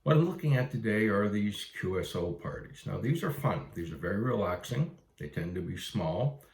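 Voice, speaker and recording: A man's voice: on the low side, expressive, moderate pace, gravelly. A man with a Canadian accent giving an informal, plain-spoken and encouraging explanation for beginners. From Ontario, Canada. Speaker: amateur radio operator and instructor. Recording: roomy, echoey room sound.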